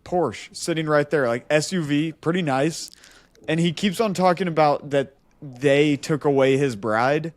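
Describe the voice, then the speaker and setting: A man's voice: a little high, unhurried, no echo. A man co-hosting a podcast, telling a story casually and animatedly, acting out the dialogue as he goes. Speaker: podcast co-host.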